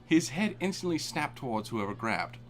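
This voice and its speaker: Guy reading fanfic out loud for laughs, super lively pitch, keeps cracking up and cursing, faint music behind. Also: normal speed. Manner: dramatic reading, breaks off laughing and swearing. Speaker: fanfic reader. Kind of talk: comedic live fanfic reading.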